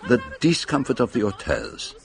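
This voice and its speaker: A man playing a fastidious, courteous middle-aged detective with a French-speaking European accent, formal and precise, in a radio drama. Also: mid-range voice, wildly swooping pitch, vocal fry. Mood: dry, fastidious. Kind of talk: radio drama acting and narration.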